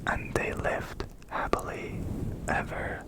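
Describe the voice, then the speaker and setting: A storyteller whispering in a breathy, unvoiced voice, gently delivering an ASMR bedtime story. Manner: slow, hushed, gentle delivery. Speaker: ASMR storyteller and channel host.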